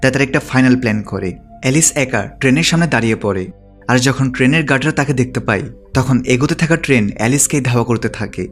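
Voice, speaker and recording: Fast-talking man narrating over music. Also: medium pitch. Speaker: movie recap narrator. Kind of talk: scripted narration, plot recap.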